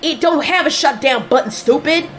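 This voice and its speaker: A woman's voice: high voice, normal speed, a bit bright, pitched above the performer's own voice. A woman voicing a snappy, foul-mouthed male digger in an audio drama. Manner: snappy, defensive, swearing.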